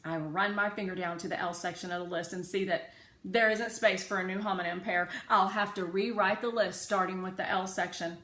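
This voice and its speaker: A woman narrating a children's story aloud in a measured, clearly phrased way. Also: fairly low pitch, bright, forceful tone. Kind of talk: children's audiobook-style storytelling. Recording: roomy echo with faint background noise.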